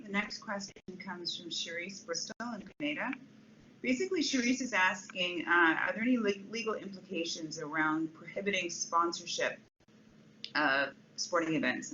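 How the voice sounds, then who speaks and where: Bright-voiced woman moderating a webinar. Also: on the low side, moderate pace, dull audio, a little hiss or hum. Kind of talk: reading out audience questions.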